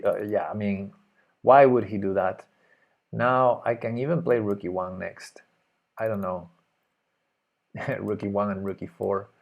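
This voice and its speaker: A man with a Spanish accent plays chess live and talks through his moves as he goes. His voice sits at a middling pitch, and he mutters and hesitates while he thinks, often trailing off into quiet asides. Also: vocal fry. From Spain. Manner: thinking aloud, hesitant, muttering move names. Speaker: chess streamer.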